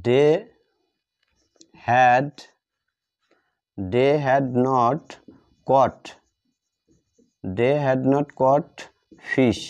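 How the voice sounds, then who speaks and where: A man with a Bengali accent teaching a grammar lesson step by step, dictating in short, halting pieces and repeating himself. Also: medium pitch, darkish tone. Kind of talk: step-by-step lesson, explaining while writing. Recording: dull, muffled lo-fi audio.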